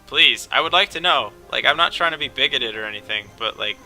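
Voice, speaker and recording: A man's voice: medium pitch, animated, fairly quick, sharp tone, soft background music, rough recording. A man casually commentating on a game, rambling, sarcastic and profane.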